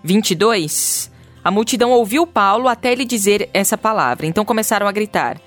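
A woman's voice: mid-range voice, expressive, a little fast, no echo, faint music behind. A woman co-hosting a casual Bible podcast, reading passages aloud and then commenting lightly. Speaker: podcast co-host.

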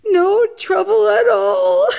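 A woman's voice: extremely high pitch, gravelly, darkish tone, heavily acted. An actress playing a grieving, tender mother in a radio drama, her speech tearful and faltering.

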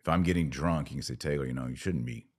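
A man's voice: extremely low pitch, quick pace, a little mellow. A man hosting a podcast, talking conversationally and arguing a point emphatically through hypothetical examples. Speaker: podcast host.

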